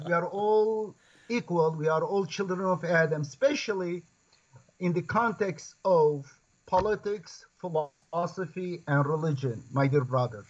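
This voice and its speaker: Man, earnest lecturer. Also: high voice. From Turkey. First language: Kurdish. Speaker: guest scholar. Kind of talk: interview over video call. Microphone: video call. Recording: lo-fi video call audio.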